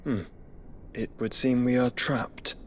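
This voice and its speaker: A man's voice: medium pitch, fairly even intonation, slow pace, slight background noise, close to an everyday voice. A man playing a thoughtful young companion in a fantasy video game, earnest and questioning. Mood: wary, questioning. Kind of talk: scripted video game drama.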